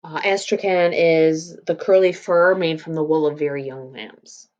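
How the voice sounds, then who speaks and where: A woman with a slightly low voice reads a story aloud as a teacher would. She adds informal, explanatory commentary as she goes. The recording is a little dull and lo-fi. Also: fairly even intonation, unhurried, darkish tone. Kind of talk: read-aloud lesson with casual explanatory commentary.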